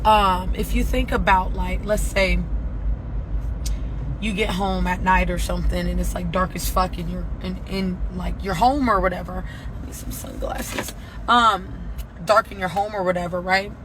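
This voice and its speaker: A hoarse woman giving a casual, profane motivational message as a spiritual reader, often asking "right?". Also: lowish voice, fairly even intonation, normal speed. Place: at work, near traffic. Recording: clear traffic noise behind.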